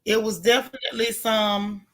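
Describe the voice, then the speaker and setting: A woman hosting a casual live recap chat, with a loud, gravelly, hoarse voice, greeting viewers by name with folksy slang. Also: fairly high pitch, fairly even intonation, moderate pace. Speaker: livestream host.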